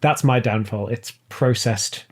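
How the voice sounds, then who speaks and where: A man chatting casually as a podcast guest, animated and playfully dramatic in the way he talks. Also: mid-range voice, measured pace. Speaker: podcast guest, writer and audio drama producer. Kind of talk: casual chat.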